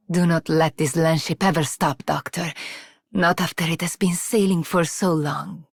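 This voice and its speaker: A woman's voice: low voice, fairly even intonation, measured pace, gravelly, slightly rough, no echo, full character voice. An actress voicing a weary, grave and resolute woman in a video game. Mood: weary, solemn. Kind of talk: video game voice line acting.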